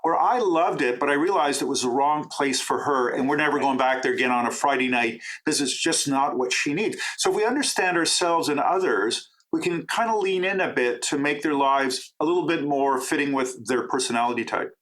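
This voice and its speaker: A middle-aged man from Canada, a professor and author, answering interview questions in a talkative, enthusiastic way with quick asides. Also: on the high side, a bit bright, low-quality recording.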